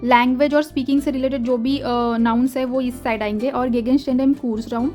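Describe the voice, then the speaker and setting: A young woman teaches German from a textbook, patient and repetitive, mixing Hindi with English. Her voice sits a little high. Soft music plays faintly behind her. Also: steady tone. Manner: patient, repeats words slowly, mixes Hindi and English. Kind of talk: explaining textbook exercises.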